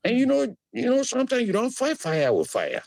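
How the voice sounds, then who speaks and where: A man from Liberia, an animated storyteller who laughs easily, speaking as an interview guest. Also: creaky, dry recording. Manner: animated storyteller with big swings in pitch, quick to laugh. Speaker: guest, immigrant services business owner. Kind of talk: conversational interview.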